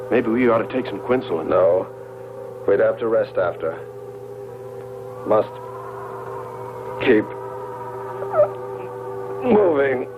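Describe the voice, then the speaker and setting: An actor, a man, playing a weary stranded astronaut who speaks in short, labored phrases in a 1960s science fiction film dub. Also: fairly low pitch. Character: weary, struggling stranded astronaut urging onward. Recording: very noisy, muffled old film soundtrack.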